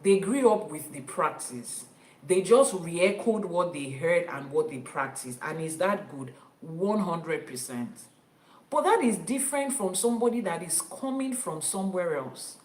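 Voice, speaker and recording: A woman's voice: mid-range voice, darkish tone, reverberant, low-quality recording. A Nigerian woman whose first language is Yoruba, teaching as an Ifa priestess and stressing her points by repeating them. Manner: emphatic, repeats key phrases for stress. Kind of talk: teaching talk to an online audience.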